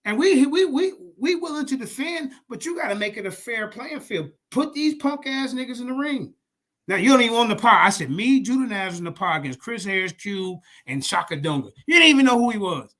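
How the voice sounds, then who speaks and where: Brash, bright-voiced man ranting. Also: very high-pitched, expressive, fairly quick. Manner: loud, profane, confrontational. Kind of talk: heated casual chat.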